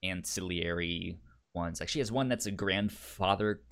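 A man co-hosting a podcast in casual chat, speaking haltingly with many stammered restarts. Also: low voice, creaky, fairly bright tone. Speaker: podcast co-host.

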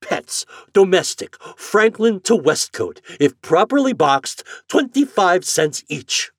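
A man voicing an enraged, sarcastic male customer who shouts his arguments. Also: high voice, big pitch swings, slow pace, no echo, clean studio sound, pitched above the performer's own voice, heavily acted. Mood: furious.

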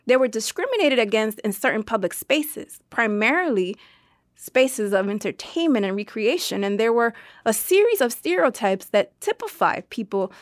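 High-pitched woman, dry formal voice-over. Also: sing-song, dry recording. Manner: measured, formal, explanatory. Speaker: interview guest's translation voice-over. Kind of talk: scripted translated narration of historical analysis.

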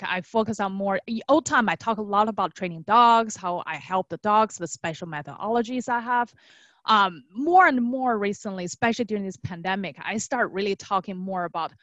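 A young woman who grew up in China, talking casually as an interview guest in an animated, conversational way, often checking in with tag questions. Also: mid-range voice, no room sound. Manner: animated storytelling, frequent tag questions, quotes imagined clients. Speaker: guest, dog trainer.

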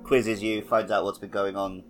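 Lowish-voiced man chatting on a podcast. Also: level tone, moderate pace, faint music behind. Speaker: podcast co-host. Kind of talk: casual video game discussion.

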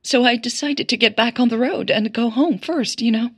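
A woman playing a frightened, shaken young woman in an audio drama, speaking haltingly. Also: on the high side, slightly rough, voice pushed up. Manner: halting, shaky.

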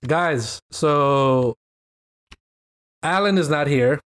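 A man's voice: fairly high pitch, drawn-out words, clear, resonant tone. Joking man with a Miami accent. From Miami, United States. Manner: joking, rambling, lively delivery. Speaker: podcast host. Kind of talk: casual podcast chat.

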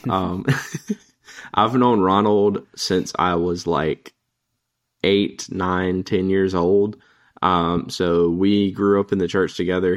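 A man's voice: sits low, no echo, clean studio sound. An American man in his early twenties talking casually and informally as a laid-back podcast co-host. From Raleigh area, United States. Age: early 20s. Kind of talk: casual chat.

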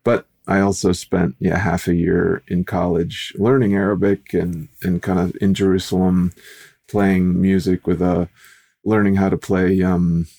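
Very deep, mellow male podcast host. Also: little pitch movement, unhurried, creaky. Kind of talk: casual conversational interview.

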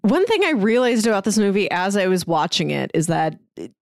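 A woman's voice: mid-range voice, big pitch swings, a little fast, creaky, dry recording, studio quality. A woman co-hosting a podcast, discussing films casually in a conversational, lightly amused way. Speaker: podcast co-host reviewing films.

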